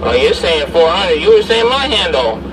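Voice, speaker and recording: A man's voice: sits high, very animated, moderate pace, far from the mic, a loud crowd. A man doing casual, slangy CB radio chat, repeating handles and call-outs. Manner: repetitive, slangy radio patter. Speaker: CB radio operator. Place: inside a parked or moving SUV. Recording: noisy car interior, away from mic.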